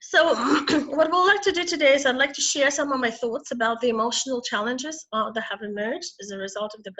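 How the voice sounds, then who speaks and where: A middle-aged woman from Ukraine, hoarse with a cold, giving an online talk as a psychotherapist, clearing her throat and restarting often. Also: sits high, brisk, pushed and bright. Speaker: psychotherapist and webinar speaker. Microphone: video call. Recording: muffled lo-fi video call audio.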